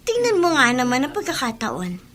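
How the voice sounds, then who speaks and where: A woman's voice: high voice, a bit bright, heavily acted. A woman playing a proud, competitive teenage schoolgirl in a radio drama, curt and scoffing. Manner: haughty, scoffing. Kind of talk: Tagalog radio drama acting.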